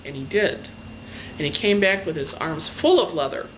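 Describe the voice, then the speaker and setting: A woman's voice: low voice, expressive. A woman storyteller telling a fairy tale in her own words, gushing and stretching out the descriptive words. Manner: gushing emphasis, drawn-out adjectives. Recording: dull lo-fi sound with faint noise.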